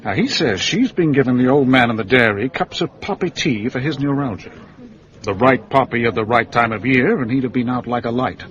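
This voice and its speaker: An actor playing a dutiful, matter-of-fact British police inspector in a radio drama, speaking in an informal, confiding way. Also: male voice, medium pitch, fairly quick, fairly bright tone, close to an everyday voice. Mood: wry, matter-of-fact. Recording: muffled, dull radio drama recording.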